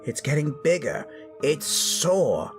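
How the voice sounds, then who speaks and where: A man's voice: a little high, very animated, takes it slowly, over music, pitched above the performer's own voice. A man voicing a fretful young girl in a scary story. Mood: fretful, upset. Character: fretful young girl Ruth, complaining about her face. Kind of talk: horror storytelling.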